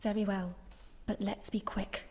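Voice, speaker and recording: A woman's voice: mid-range voice, little pitch movement, unhurried, heavy background noise, natural voice. A woman playing a tense investigator with dramatic delivery in a live horror radio play. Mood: impatient. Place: live in an echoing anatomy theatre.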